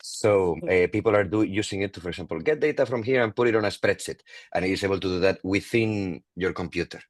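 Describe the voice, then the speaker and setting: Deep-voiced man explaining tech casually. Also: quick pace, dry recording, clean studio sound. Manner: explains tech with fillers and tag "no?". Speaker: product manager on community call.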